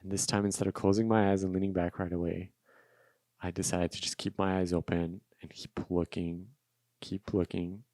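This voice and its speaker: A man's voice: low-pitched, little pitch movement, normal speed, darkish tone, no echo. A man whose native language is Russian, telling a personal story in an unscripted solo podcast, reflective and repeating phrases for emphasis. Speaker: podcast host.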